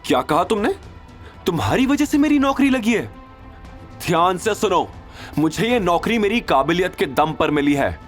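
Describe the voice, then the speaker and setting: A man voicing a defiant, indignant young woman who speaks forcefully in an audio drama. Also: sits high, sing-song, normal speed, soft background music, voice pushed up.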